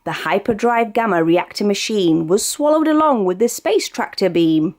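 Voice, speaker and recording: Female British storyteller for a rhyming picture book; expressive, sing-song delivery with a wide pitch range. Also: normal speed. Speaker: storybook reader. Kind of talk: read-aloud of a rhyming children's picture book.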